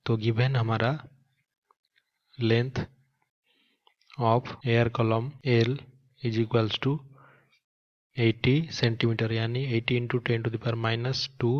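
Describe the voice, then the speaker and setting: A man's voice: mid-range voice, lo-fi sound. A man with an Indian accent speaking as a physics teacher, explaining a problem step by step and mixing in English terms. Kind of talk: step-by-step problem-solving lesson.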